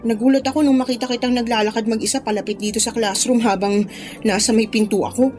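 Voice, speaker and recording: An actress playing a gushing, easily thrilled young college friend in a Filipino radio drama, with a Filipino accent. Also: female voice, on the high side, steady tone, quick pace, darkish tone, faint music behind, close to an everyday voice. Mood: curious. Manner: gushing, exclamatory.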